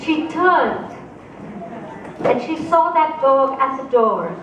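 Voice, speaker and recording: A woman from India, telling a story slowly and expressively to a live audience and asking them questions. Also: high-pitched, sing-song, a bit bright, lo-fi sound. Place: festival opening ceremony stage. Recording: echoey hall, distant mic, background noise.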